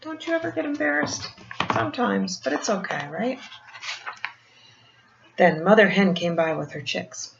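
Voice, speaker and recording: An older woman reads a picture book aloud like a grandmother, pausing for gentle explanations and questions to the child. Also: medium pitch, lively intonation, creaky. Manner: gentle teacherly asides, asking the child questions. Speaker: grandmother storyteller reading to children. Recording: muffled, roomy home recording with faint noise.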